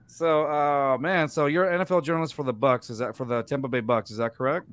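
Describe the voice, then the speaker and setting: A man's voice: mid-range voice, fairly even intonation, quick pace, a bit bright, clear, resonant tone, no echo. A man in his thirties hosting a casual podcast from home, laid-back and laughing, often repeating his phrases. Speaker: podcast host. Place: home room with sports posters. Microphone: studio mic on boom.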